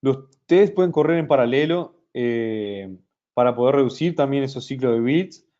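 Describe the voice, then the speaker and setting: A man presenting a software product in a webinar, talking slowly with many fillers and restarts. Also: mid-range voice, animated, muffled recording. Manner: hesitant, many fillers and restarts, speaks slowly in Spanish for a Brazilian audience.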